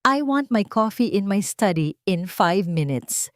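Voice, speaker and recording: A woman's voice: mid-range voice, expressive, measured pace, no room sound, clean studio sound, natural voice. Haughty lady of the house, played by a woman narrator: icy, curt orders, storybook drama. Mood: cold, imperious. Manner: cold, curt, commanding. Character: cold, haughty wealthy mistress of the house.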